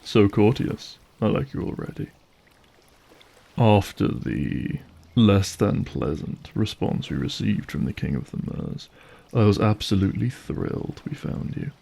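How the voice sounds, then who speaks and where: Man acting a creaky-voiced, courtly monster lord. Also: lowish voice, sing-song, measured pace, mellow, full character voice. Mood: smooth, diplomatic. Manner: formal, gracious, silky courtesy. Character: courtly, aristocratic male monster lord, grieving and calculating. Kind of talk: audio drama acting.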